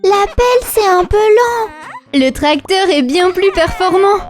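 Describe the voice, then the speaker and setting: A woman voicing a playful, boastful young lion cub in a children's cartoon, with an excitable, cheeky, singsong delivery. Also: very high voice, a little slow. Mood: boastful, excited. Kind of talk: children's cartoon voice acting in rhymes. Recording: music playing behind the voice.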